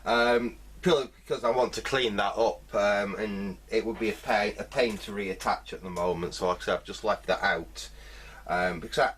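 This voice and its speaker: A man's voice: on the low side, normal speed, fairly bright tone, slightly rough. A man giving a casual hands-on repair commentary, thinking aloud hesitantly in fragments. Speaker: host of a vintage electronics repair video.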